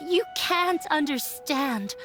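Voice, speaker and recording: Game drama role: estranged daughter, young and resolute, high pitched, measured, heartfelt delivery. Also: female voice, expressive, fairly bright tone, soft background music, exaggerated voice. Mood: sad, earnest. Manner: deliberate, emotionally sincere. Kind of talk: video game voice acting.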